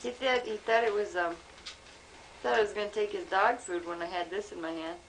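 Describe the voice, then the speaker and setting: A woman's voice: medium pitch, fairly quick. Young female, casual and teasing interviewer, mid-range voice, amateur camcorder sound with faint noise. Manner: playful, coaxing, giggly. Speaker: granddaughter filming and asking questions. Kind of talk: casual chat. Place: small home, indoors. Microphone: handheld camcorder, close behind camera. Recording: noisy amateur home video.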